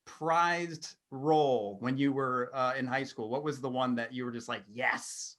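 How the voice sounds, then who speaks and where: A woman's voice: extremely low pitch, measured pace. A woman hosting a casual podcast chat and asking guests questions. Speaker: podcast host, interviewer.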